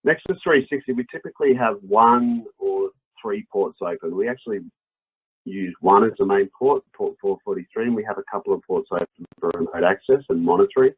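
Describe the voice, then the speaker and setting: Low, husky Australian man presenting. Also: animated, brisk. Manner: explanatory, conversational. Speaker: company product presenter. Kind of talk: webinar product walkthrough. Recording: dull, lo-fi webinar audio.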